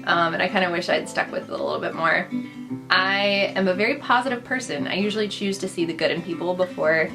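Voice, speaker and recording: A woman's voice: fairly low pitch, fast. A young woman who grew up in the Netherlands, with Dutch as her first language, chattily listing facts about herself in a casual vlog, upbeat and full of fillers and asides. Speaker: vlogger. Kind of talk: casual list of personal facts to camera. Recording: with clear background music.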